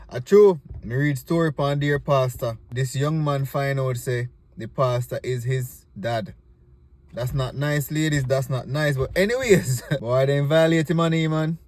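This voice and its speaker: A man with a Jamaican accent, casually hosting and giving comic commentary in Patois. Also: mid-range voice. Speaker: host introducing and commenting on stage play clips.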